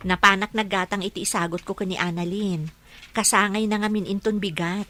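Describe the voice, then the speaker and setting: A woman with an Ilocano accent playing an excitable, teasing young bride-to-be in a radio comedy-drama. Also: medium pitch, a little fast, close to an everyday voice. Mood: friendly.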